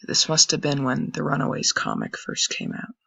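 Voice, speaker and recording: A young man with a raspy voice, casually commenting and thinking aloud while browsing old web pages on a screen recording. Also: a little high, wildly swooping pitch, moderate pace, sharp tone, no room sound. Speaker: channel host. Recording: dull lo-fi dry recording.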